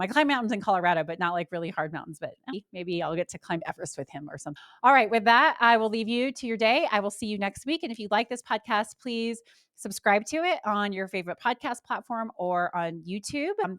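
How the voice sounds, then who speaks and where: A woman's voice: mid-range voice, fairly bright tone, no room sound, studio quality. A woman hosting a podcast, speaking in an enthusiastic, friendly way. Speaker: podcast host. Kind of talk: podcast interview and outro.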